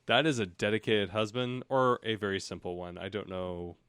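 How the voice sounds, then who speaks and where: A man's voice: on the low side, normal speed, fairly bright tone, clear, resonant tone, no room sound, clean studio sound. A man reading a storybook as a comedy host, breaking off for casual, sarcastic asides. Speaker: host and narrator of parody audiobook show.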